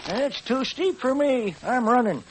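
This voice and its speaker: A man's voice: extremely high pitch, animated, unhurried. An American man playing a young, hot-headed, plain-spoken rancher with folksy cowhand talk in a vintage radio Western. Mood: determined. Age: young adult. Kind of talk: 1940s radio western acting. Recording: old radio recording, faint hiss.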